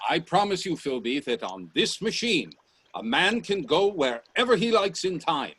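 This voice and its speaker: An actor playing a confident, eccentric professor and inventor in a radio play, assured, formal and grandly insistent. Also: male voice, fairly high pitch, big pitch swings, normal speed, a bit bright, breathy edge, exaggerated voice.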